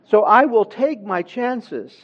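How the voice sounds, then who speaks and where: A man who grew up in Honduras, preaching a sermon in a church with slow, emphatic delivery that swells louder on key words. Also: high-pitched, very animated, dull audio.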